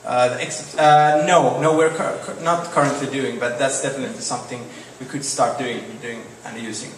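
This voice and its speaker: A young man from Finland with a Finnish accent, answering audience questions on stage in a halting way with many repeated words. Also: medium pitch, fairly even intonation. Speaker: startup presenter. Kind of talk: Q&A after a product demo. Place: large dark event hall on stage. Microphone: podium mic. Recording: echoey hall, distant mic, faint noise.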